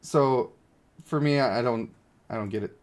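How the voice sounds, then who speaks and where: A man's voice: mid-range voice, normal speed, a little mellow. A man giving casual, opinionated livestream commentary on a mobile game, blunt and given to exasperated ranting. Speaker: game streamer.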